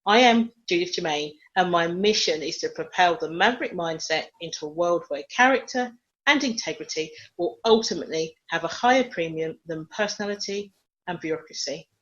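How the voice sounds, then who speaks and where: A woman's voice: lowish voice, lively intonation, a little fast, fairly bright tone. A woman hosting a leadership podcast, introducing the show and prompting her guest in a friendly, encouraging way. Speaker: podcast host. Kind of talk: podcast interview. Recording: dull lo-fi sound.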